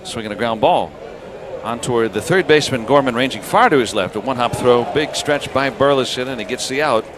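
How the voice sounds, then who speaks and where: A man calling baseball play-by-play on live radio in unhurried, clipped phrases. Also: mid-range voice, expressive. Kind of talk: live radio sports commentary. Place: baseball ballpark broadcast booth. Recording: clear crowd and ambient noise. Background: outdoor stadium ambience.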